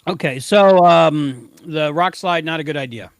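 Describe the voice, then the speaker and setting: A man with a somewhat high-pitched voice co-hosts a podcast. His delivery is casual and conversational. The sound is very dry, with no room echo. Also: a little slow. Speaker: podcast co-host. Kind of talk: casual chat, episode discussion.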